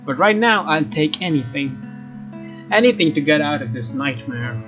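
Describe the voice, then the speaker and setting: A man with a fairly high voice reads a visual novel aloud as a let's player. The sound is slightly dull, and guitar game music plays clearly behind him. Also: moderate pace. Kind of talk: reading visual novel narration aloud, expressive.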